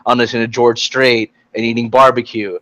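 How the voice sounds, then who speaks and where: Young American man, halting and dry-sounding. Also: medium pitch, flat delivery, bright, forceful tone, dry recording, rough recording. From Texas, United States. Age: young adult. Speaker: youth panel participant. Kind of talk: casual group discussion. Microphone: computer microphone on an online call.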